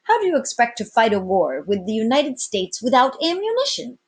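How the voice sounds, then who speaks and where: A woman voicing a commanding, wry male sea captain in a storybook, formal and brisk in manner. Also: fairly high pitch, huge pitch swings, slightly rough, voice pushed up, heavily acted. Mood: wry, challenging.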